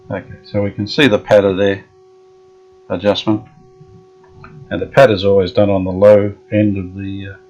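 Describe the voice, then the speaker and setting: Low-voiced man tinkering. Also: slight background noise. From Australia. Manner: relaxed, thinking aloud with frequent fillers. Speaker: radio restorer. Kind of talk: hands-on workshop narration. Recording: dull lo-fi workshop audio.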